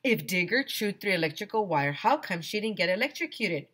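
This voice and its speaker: A woman's voice: mid-range voice, big pitch swings, brisk, noisy background, close to an everyday voice. A woman voicing a teasing young schoolboy in a children's storybook. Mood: curious.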